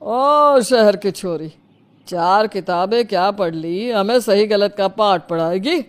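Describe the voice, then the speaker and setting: A woman's voice: medium pitch, animated, slow pace. A woman voicing a stern, domineering older male village council head in a cartoon story, scolding with authority. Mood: scornful.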